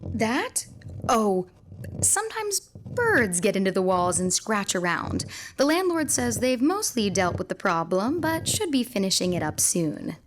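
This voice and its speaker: A woman's voice: medium pitch, very animated, measured pace, background noise. A woman playing a reassuring realtor showing an apartment in an audio drama. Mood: breezy, reassuring.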